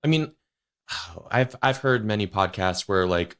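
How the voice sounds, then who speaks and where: A young male podcast host with a slightly low voice talks loosely and rambles. He leans on "you know" and "like" as he works toward his questions. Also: lively intonation, a little slow, a bit bright. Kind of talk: casual interview chat.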